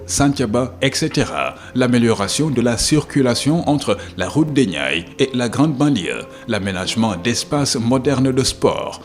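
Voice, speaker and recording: A man reading a scripted documentary narration. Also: mid-range voice.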